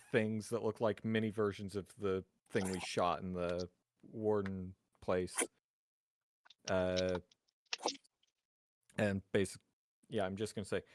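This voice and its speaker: A man chatting casually as a player in a tabletop roleplaying game, with a wry, sarcastic way of talking. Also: deep voice.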